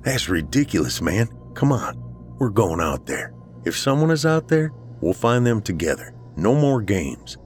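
A man's voice: lowish voice, big pitch swings, a little slow, soft background music, voice pushed up. A man playing a worried, loyal friend in a horror story, earnest and colloquial, easily exasperated. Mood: uneasy, determined. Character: worried, loyal male friend, rattled but practical. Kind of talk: audiobook character dialogue, horror story.

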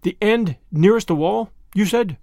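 A man voicing a brave, fierce young male mongoose in a storybook reading, brisk and determined. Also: high voice, creaky, mellow, dry recording, studio quality, voice pushed up. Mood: impatient.

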